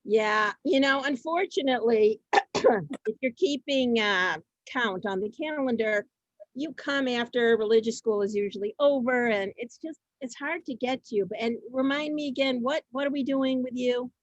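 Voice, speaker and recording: A woman hosting an amateur theater event informally, halting and often repeating her words as she goes. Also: on the high side, dry recording, muffled recording. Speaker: host introducing performers.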